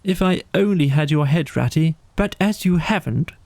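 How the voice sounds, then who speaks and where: A man's voice: on the high side, lively intonation, moderate pace, gravelly, darkish tone, no echo, pitched above the performer's own voice. A man voicing an earnest, wordy mole in a children's storybook, with emphatic, rambling speech. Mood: awed, gushing. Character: grumbling, naive, wordy male mole. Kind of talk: animal character in a children's storybook.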